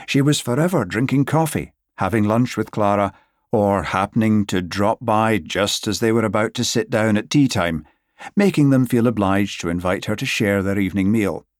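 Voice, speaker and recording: A man narrates literary fiction in a lowish voice. The recording is dry and close, with very little room around the words, as suits an audiobook. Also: normal speed, no echo.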